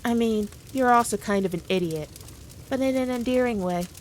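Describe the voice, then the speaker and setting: A woman's voice: on the high side, moderate pace, darkish tone, a little hiss or hum, close to an everyday voice. Haughty, teasing demon queen, acted by a woman. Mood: coaxing. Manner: teasing, condescending, dominant. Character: haughty, playful, all-powerful female demon queen. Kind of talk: scripted roleplay monologue addressed to the listener.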